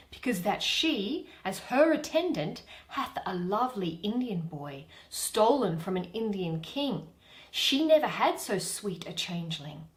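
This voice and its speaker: A woman performing a mischievous, boastful male sprite in theatrical, drawn-out Shakespearean verse. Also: medium pitch. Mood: warning, relishing.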